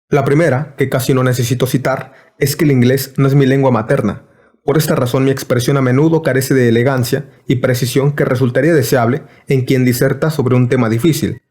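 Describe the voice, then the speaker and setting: A man reads a philosophy lecture aloud in an even, formal way. His delivery is measured and deliberate, like a scripted recording. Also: medium pitch.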